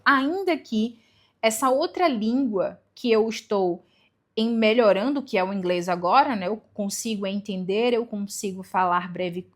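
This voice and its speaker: A young woman, giving casual advice to camera in a chatty way, with rhetorical questions and self-corrections. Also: mid-range voice. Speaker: vlogger giving language-learning tips.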